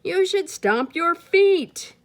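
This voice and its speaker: A woman's voice: very high voice, lively intonation, slow pace, voice pushed up, full character voice. A woman voicing cheerful, nosy jungle animals in a storybook, coaxing in an eager singsong way.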